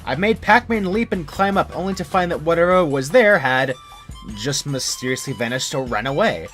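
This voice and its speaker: A man's voice: a little high, expressive, normal speed, fairly bright tone. A man reading a scripted first-person creepypasta story as its narrator. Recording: music under the narration.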